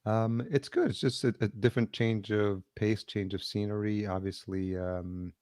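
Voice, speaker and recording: A man speaks in a low, even monotone over a video call. He talks as an interview guest in an unhurried, measured way, pausing on ums and restarting his sentences. The sound is dry and close. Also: dry recording. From El Salvador, raised in Yonkers, New York. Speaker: podcast producer, guest. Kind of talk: casual interview chat. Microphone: remote video call.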